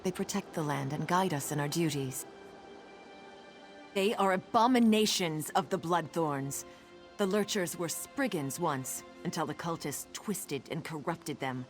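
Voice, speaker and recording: A woman's voice: sits low, unhurried, soft background music. A woman playing an earnest, solemn and worried nature priestess in a fantasy video game. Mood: worried, urgent.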